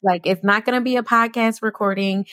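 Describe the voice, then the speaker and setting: A woman's voice: a little high, steady tone, a little fast, fairly bright tone, no echo, studio quality. A young adult woman co-hosting a podcast, chatting casually in slang and laughing often. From New Jersey. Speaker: podcast co-host.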